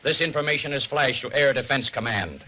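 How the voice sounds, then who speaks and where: A man with an American accent narrating a 1950s civil defense radio program in a formal, deliberate, authoritative announcer style. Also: medium pitch, bright, forceful tone. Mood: grave, instructive.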